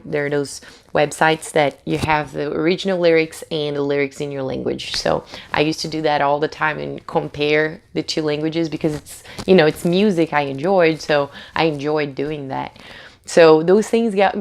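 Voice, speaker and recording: Low, gravelly woman. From Brazil. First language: Portuguese. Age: late 20s. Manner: chatty, with many fillers and restarts. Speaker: English teacher, channel host. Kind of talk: casual talk to camera.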